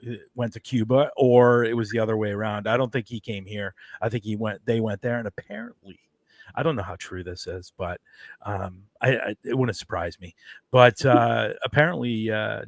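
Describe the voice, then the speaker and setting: A man's voice: fairly low pitch, no room sound. Rambling man hosting a chat. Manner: rambling, digressive, chuckling. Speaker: show host. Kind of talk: casual talk-show chat.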